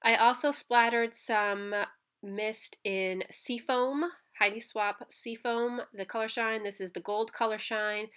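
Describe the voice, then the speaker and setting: A woman's voice: medium pitch, fairly bright tone. A woman with a stuffy nose from a cold, chatting casually through a crafting voice-over with lots of ums and restarts. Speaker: scrapbooking channel host. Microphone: home voice-over mic.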